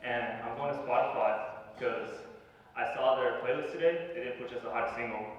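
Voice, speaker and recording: A man's voice: mid-range voice, fairly quick, background noise. An eighteen-year-old man, a pageant contestant, speaking in a hesitant, offhand way. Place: large echoey school auditorium. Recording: far-off echoey hall, dull sound.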